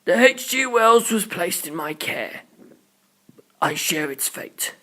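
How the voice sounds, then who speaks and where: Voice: medium pitch, expressive, slow pace, a bit bright, rough recording. A narrator voicing a composed, commanding male spaceship captain in an audiobook, with terse, authoritative delivery. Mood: firm, resolute.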